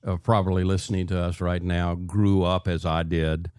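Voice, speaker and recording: Older man, lowish voice, dry close sound, radio interview host, halting restarts. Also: little pitch movement, darkish tone, clean tone, no room sound. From American South. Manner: halting, repeats phrase openings.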